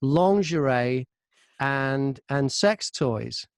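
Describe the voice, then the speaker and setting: A man's voice: mid-range voice, lively intonation, drawn-out words, smooth, no room sound, studio quality. A middle-aged British man chatting casually as an interview guest, telling self-deprecating stories about his work. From Britain. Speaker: voice-over artist and former radio presenter being interviewed. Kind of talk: casual anecdotal interview chat.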